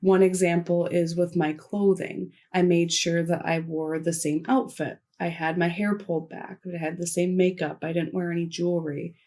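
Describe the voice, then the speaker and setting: A woman voicing a signed conference keynote into spoken English, delivering it in short, measured phrases with pauses. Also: lowish voice, flat delivery, dark tone. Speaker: sign language interpreter voicing a keynote. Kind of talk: simultaneous interpretation of a conference talk.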